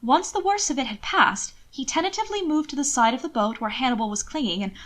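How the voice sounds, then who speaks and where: A woman's voice: high voice, animated, fast, a bit bright. A woman reading scripted romantic fiction expressively, as an audiobook narrator.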